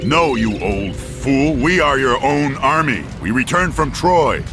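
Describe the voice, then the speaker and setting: A blunt, confident soldier in a fantasy game, acted by a man. His voice is mid-pitched with lively movement, set over background music. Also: unhurried, close to an everyday voice. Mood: brusque. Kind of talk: scripted video game cutscene acting.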